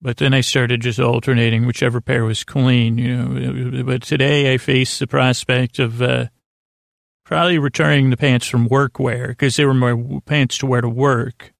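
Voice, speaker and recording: A man hosting a sleep podcast, rambling in a halting, stammering, self-deprecating way that keeps circling back on itself. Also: mid-range voice, flat delivery, gravelly, dry recording, studio quality. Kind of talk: rambling bedtime monologue.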